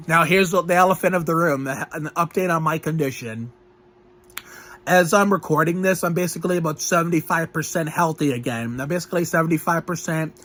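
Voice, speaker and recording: A young man with a slightly higher-than-average voice rambles through an update about getting over a cold. He still has a lingering cough. He stumbles, restarts his sentences and leans on fillers. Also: fairly bright tone. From Northeast Ohio. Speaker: vlogger. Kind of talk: rambling health update vlog.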